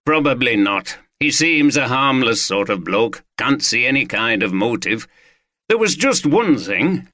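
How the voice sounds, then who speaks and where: A man voicing a calm, measured senior detective who speaks slowly and understatedly. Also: mid-range voice, dry recording.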